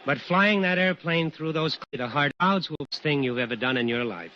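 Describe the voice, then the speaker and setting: Actor as firm tower controller, noisy old radio. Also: male voice, on the high side, expressive, dull audio, lightly acted. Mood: firm, grave. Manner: deliberate, firm, instructing. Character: earnest, commanding airport tower controller under pressure, man. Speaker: air traffic controller. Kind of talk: old-time radio drama acting.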